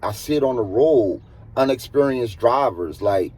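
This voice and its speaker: A man with a husky voice, talking casually as a truck driver giving earnest, emphatic advice and repeating points for stress. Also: mid-range voice, lively intonation, moderate pace, a little mellow. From United States. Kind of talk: casual advisory monologue.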